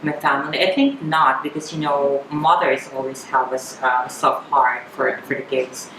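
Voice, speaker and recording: A woman's voice: rumbling low, level tone, moderate pace. Filipino woman in an echoey room. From Philippines. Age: 30s. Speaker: interview guest. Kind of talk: personal interview chat. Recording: echoey room with clear background noise.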